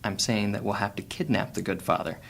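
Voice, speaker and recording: A man playing a gravelly, cunning thief. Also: lowish voice, a little fast, fairly bright tone. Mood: scheming. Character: wry male thief, guarded and cunning.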